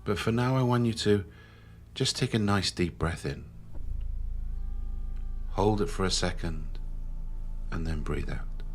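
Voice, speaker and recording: A man leading a guided meditation in a slow, soothing manner with long pauses. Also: low-pitched. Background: background music.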